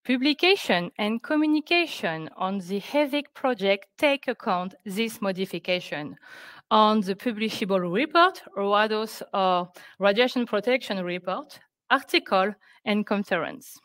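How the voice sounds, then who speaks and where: A woman presenting scientific results on a webinar, speaking careful, halting English. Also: mid-range voice, lively intonation, a bit bright, dry recording. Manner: careful, halting non-native English delivery. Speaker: researcher presenting project results. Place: indoors on a video call.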